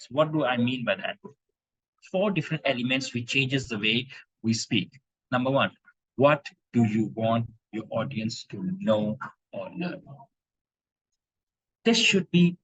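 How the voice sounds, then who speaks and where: A man from India giving an animated talk on impromptu speaking to a club, with emphatic rhetorical questions over a video call. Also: medium pitch, husky, no room sound, lo-fi sound. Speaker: speaking coach. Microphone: video call microphone.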